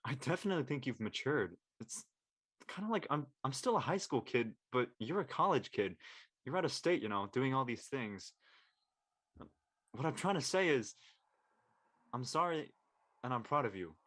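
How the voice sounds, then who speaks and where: A young man acting a casual, youthful high-school boy in an online drama. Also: big pitch swings, normal speed, pauses often, fairly bright tone, no echo, clean studio sound. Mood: apologetic, sincere. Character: high-school boy, brash but soft-hearted friend.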